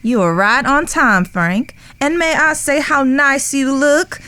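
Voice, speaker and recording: Western audio drama: genteel, flirtatious lady acted by a woman, higher voice, gracious formal phrasing. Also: slow, bright, forceful tone, full character voice.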